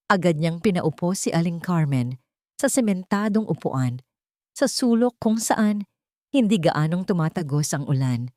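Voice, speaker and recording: A woman's voice: low-pitched, a little mellow, no echo, studio quality. A woman narrating a scripted, dramatic audio story.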